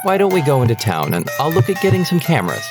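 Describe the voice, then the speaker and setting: A man's voice: on the high side, huge pitch swings, quick pace, loud music behind the voice. A man playing a practical, dismissive husband and father in a haunted-house audio drama. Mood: dismissive, reassuring.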